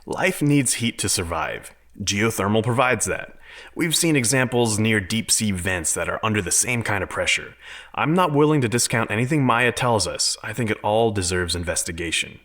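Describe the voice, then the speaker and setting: A man's voice: fairly low pitch, expressive, normal speed, a bit bright. An actor playing a confident, open-minded scientist in an audio drama, lecturing earnestly and arguing his case. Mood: insistent. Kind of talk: dramatic audio fiction acting.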